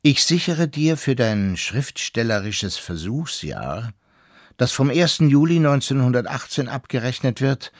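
A stern, formal father of middle age in a radio play. He dictates strict terms very slowly, with wide swings of pitch, in a dry close recording. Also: no room sound. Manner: measured, official, clipped formality. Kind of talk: radio drama reading of a formal letter.

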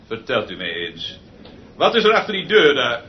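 An actor playing an imperious, exasperated, eccentric orchestra conductor in a radio play, with a pompous, theatrical manner that swings from muttering to shouting. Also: male voice, medium pitch, wildly swooping pitch, normal speed. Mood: imperious, impatient. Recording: dull recording with noticeable hiss.

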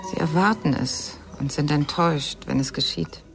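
A woman's voice: deep voice, normal speed, soft background music. A woman acting in a German radio crime drama, playing the sorrowful, reflective but hopeful mother of a missing son.

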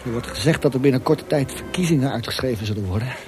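An actor in a radio drama playing an anguished young prisoner thinking aloud in an intense, introspective monologue. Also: male voice, medium pitch, fast talker, lightly acted. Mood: urgent, reasoning. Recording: loud music behind the voice.

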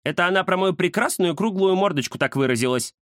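Man putting on a squeaky cheeky little tomcat. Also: a little fast, no echo, clean studio sound. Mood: chatty, aggrieved. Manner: excitable, teasing. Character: cheeky chatty round-faced young male cat, mischievous.

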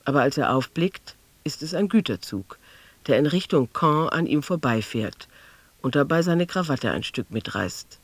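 Male narrator, fairly high voice, dry close studio sound, deliberate, observational, literary radio drama. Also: lively intonation, breathy edge, no echo.

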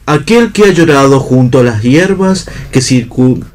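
A man's voice: medium pitch, lively intonation, measured pace, a little mellow, lightly acted. A man acting a proud, lyrical ragged vagabond in a radio play, declaiming poetically. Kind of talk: read theatre for radio.

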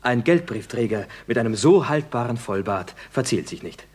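An actor playing a brash, quick-witted young gentleman actor, husky and mock-grand, in a 1960s German radio comedy. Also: medium pitch, animated. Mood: grand, reflective. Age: young adult. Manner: theatrical, glib, mock-grand.

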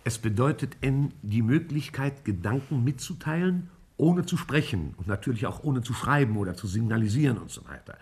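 A man playing a fussy, pedantic scholar in a 1950s German radio drama, with halting delivery. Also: medium pitch, animated, darkish tone, husky. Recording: vintage 1950s radio play recording.

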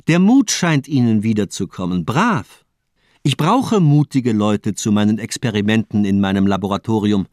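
Mocking, fanatical villain doctor played by a man, dramatically animated. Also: lowish voice, moderate pace, dry recording, clean studio sound, close to an everyday voice. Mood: mocking, challenging. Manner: rhetorical, mocking, declamatory monologues. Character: cold fanatical nihilist doctor, verbose male murderer. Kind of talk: German radio crime drama acting.

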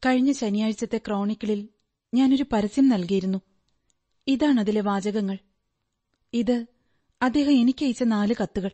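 A woman's voice: fairly high pitch, measured pace, pauses often, mellow, dull audio. A woman playing a polite, composed young client of a detective in a radio drama, speaking formally and precisely.

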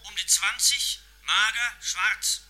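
A man's voice: sits high, slow, bright, forceful tone, full character voice. An actor playing an anxious man phoning a police inspector in a radio crime play, nervous, eager and deferential. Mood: eager, dutiful. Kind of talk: German radio drama acting.

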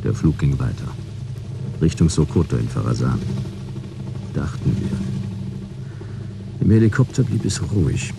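A hard-boiled, world-weary detective narrating a radio play. His voice is extremely deep with heavy fry, and his delivery is laconic and deadpan. Loud vehicle ambience runs behind. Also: unhurried, long pauses, very noisy, heavily acted. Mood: dry, sardonic. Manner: laconic, deadpan, clipped sentences. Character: dry, world-weary male detective telling his own case.

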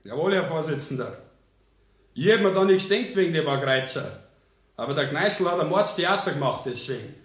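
An actor playing a defiant, unrepentant Bavarian burglar questioned in a radio drama courtroom, blunt and clipped. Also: male voice, fairly high pitch, animated, moderate pace, fairly bright tone. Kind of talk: German radio play courtroom acting. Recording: echoey 1970s radio drama studio.

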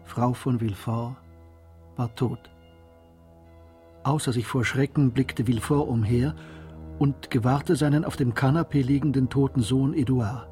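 A man acts as the sombre narrator of a tragic radio drama. His voice is dark and mellow and his reading measured, with faint music behind. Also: medium pitch, steady tone, close to an everyday voice.

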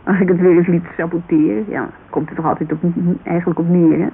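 Muffled hissy radio drama, grieving woman, gravelly creak, hesitant, confessional, self-reproachful. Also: on the low side, fairly even intonation, quick pace. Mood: wry, reflective. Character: grieving, self-reproachful woman confiding about her dead lover. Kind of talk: naturalistic radio drama dialogue.

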